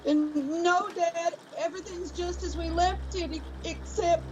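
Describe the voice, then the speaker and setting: A woman's voice: very high voice, steady tone, measured pace, a little hiss or hum. A woman playing an eager, obedient young son in a radio play. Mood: anxious, excited. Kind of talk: theatrical radio play acting.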